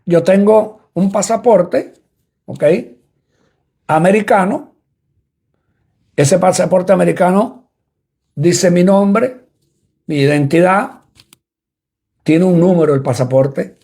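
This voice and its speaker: A man's voice: sits high, slow pace, creaky, long pauses, warm tone, slightly rough. A man from Cuba, preaching a sermon and repeating points as he explains them to listeners. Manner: repetitive, explanatory preaching that addresses listeners directly. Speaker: apostle preaching.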